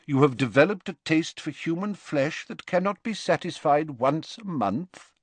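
A man voicing a wise old wizard in an audiobook, measured and polite, calm with a gently wry manner. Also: a little high, husky, dry recording, pitched above the performer's own voice. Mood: cool disgust.

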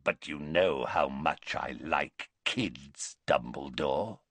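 A man voicing a rasping, bloodthirsty werewolf villain in an audiobook, slowly savouring his words with menace. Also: a bass voice, fairly bright tone, dry recording, pitched below the performer's own voice, heavily acted. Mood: gloating menace. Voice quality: rasping bark, gravelly.

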